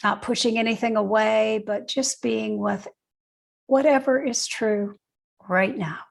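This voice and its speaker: An older woman leading a guided meditation, speaking gently and leaving long pauses between short phrases. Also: a little high, steady tone, gravelly. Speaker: guided meditation teacher, nurse and educator.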